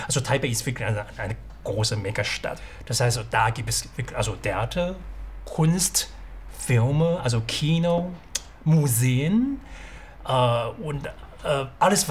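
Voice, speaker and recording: Ein taiwanischer Mann in seinen Vierzigern spricht im Interview, bricht Sätze ab und setzt neu an. Aufgenommen in einem kleinen Restaurant, mit leichtem Hintergrundrauschen. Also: male voice, mid-range voice, slight background noise. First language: Mandarin. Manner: hesitant, many fillers and restarts. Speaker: writer. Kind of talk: documentary interview. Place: small restaurant indoors.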